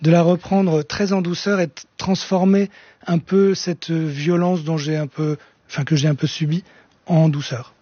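Soft-spoken man with a husky voice. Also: on the high side, steady tone, unhurried, no echo. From France. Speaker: guest musician. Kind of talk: radio talk show guest. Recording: dull lo-fi radio studio sound.

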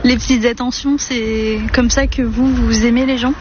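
A woman reporter interviewing someone for radio, asking gentle questions. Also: on the high side, fairly even intonation, moderate pace. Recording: lo-fi with background noise.

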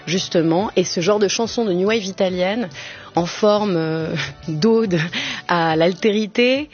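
A radio host presenting a music program in a lively, explanatory way, speaking directly to listeners. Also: mid-range voice, measured pace, dull audio.

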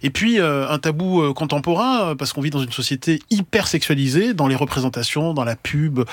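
Dry-sounding male radio host, measured. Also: on the high side, expressive, no echo. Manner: measured, many hesitations. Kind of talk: radio talk show moderating.

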